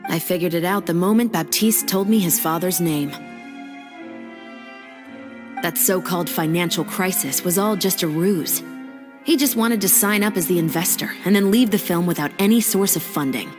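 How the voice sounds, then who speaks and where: A woman's voice: lowish voice, close to an everyday voice. An actress playing a composed, articulate female patrol officer who firmly believes in lawful justice, in a video game cutscene. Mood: deductive, assured. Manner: measured, articulate, resolute. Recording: long reverb, music underneath. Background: music.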